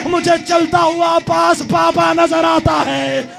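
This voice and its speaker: Man voicing a grieving pleading sister, wailing half-sung, gravelly, music underneath. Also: extremely high pitch, level tone, slow pace, shouting, crowd noise, exaggerated voice.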